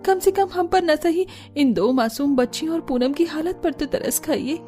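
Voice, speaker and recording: A woman voicing a worried young daughter-in-law in a cartoon drama, earnest and plaintive, with a soft voice. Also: high voice, moderate pace, soft background music, lightly acted. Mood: pleading.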